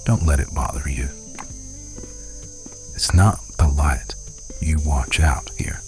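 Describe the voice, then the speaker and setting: A man playing a brooding, protective alpha werewolf rancher boss in an intimate ASMR romance roleplay, murmuring in a terse, commanding way. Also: over music. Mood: low, watchful. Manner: terse, commanding, murmuring low with long pauses.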